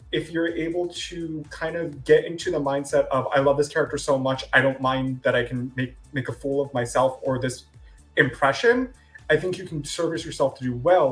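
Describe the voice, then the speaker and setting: A man co-hosting a casual review chat, giving measured, thoughtful opinions. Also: a little high, fairly bright tone. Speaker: podcast co-host.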